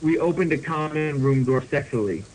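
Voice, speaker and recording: A man's voice: fairly high pitch, normal speed, noisy background. A man hosting a podcast, reading fan fiction aloud comically with mock seriousness and casual asides. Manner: mock-serious delivery, often breaking into laughter. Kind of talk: comedic dramatic reading with asides.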